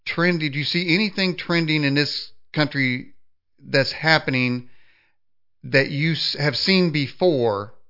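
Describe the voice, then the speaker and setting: A man's voice: a little high, a little slow, clean tone. A man hosting an interview, asking rambling, hesitant questions full of restarts. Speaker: podcast host. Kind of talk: interview conversation. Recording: dull lo-fi audio.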